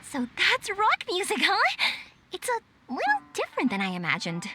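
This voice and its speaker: A woman's voice: extremely high pitch, huge pitch swings, moderate pace, sharp tone, faint music behind, heavily acted. A woman voicing a cheerful, naive, curious young woman in a video game, eager and chatty. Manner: eager, wondering, rambling. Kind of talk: animated video game voice acting.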